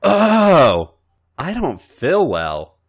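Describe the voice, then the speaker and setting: A brash young woman, drunk and spoiled, as a male narrator puts her on. Her speech is slurred and whiny, leaping around in pitch with belligerent swagger, in the manner of a comic audio story. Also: wildly swooping pitch, very slow, full character voice. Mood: groaning.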